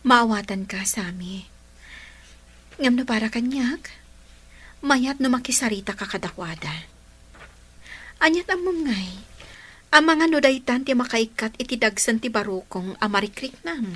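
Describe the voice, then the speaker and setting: A steadfast young sweetheart acted by a woman in a radio drama, patient and softly coaxing. Her voice is fairly high with a breathy, slightly husky edge. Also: expressive, unhurried, pauses often. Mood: concerned. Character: supportive, devoted young girlfriend, patient and steadfast.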